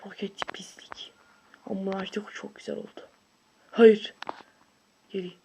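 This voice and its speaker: An excitable video creator with a high voice, giving casual, slangy gameplay commentary with shouts and whoops. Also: normal speed, gravelly, in a whisper.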